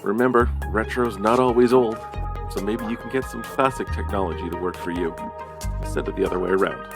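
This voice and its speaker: A man reads a podcast outro in a low, creaky voice. The delivery is scripted and addressed to listeners, with music clearly playing behind him. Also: a little fast. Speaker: podcast co-host giving the outro.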